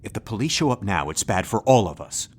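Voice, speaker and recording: An earnest disguised operative, pleading, melodramatic, lively swooping intonation, comedy audio drama. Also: male voice, on the low side, fairly quick, breathy edge. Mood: persuasive. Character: disguised male TV actor turned secret operative, defensive, earnest.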